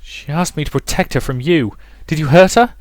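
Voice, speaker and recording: A man's voice: on the high side, moderate pace, no echo, pitched above the performer's own voice, close to an everyday voice. A man voicing a concerned, protective young woman in a visual novel, earnest and questioning. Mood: indignant.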